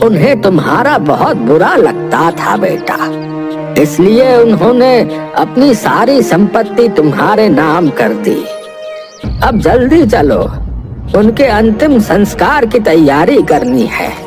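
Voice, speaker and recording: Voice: medium pitch, very animated, slow pace, music in the background. An actor voicing an earnest male messenger figure in a cartoon moral story, speaking in a formal, declarative way. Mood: earnest explaining. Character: grave village elder and brisk royal soldier, male.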